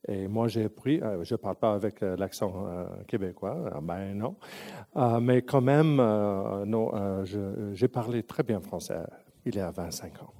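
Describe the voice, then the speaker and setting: A man's voice: fairly low pitch, measured pace, mellow. A man from English-speaking Canada with an English Canadian accent tinged by Italian, giving a public lecture as a museum director and often searching for words and restarting. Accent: English Canadian, with Italian influence.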